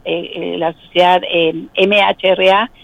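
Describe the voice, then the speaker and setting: A woman with a provincial Buenos Aires accent explaining things as an expert interviewee, in measured, hesitant phrasing. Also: fairly low pitch, level tone, creaky, no echo, lo-fi sound. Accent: provincial Buenos Aires Argentine. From Argentina.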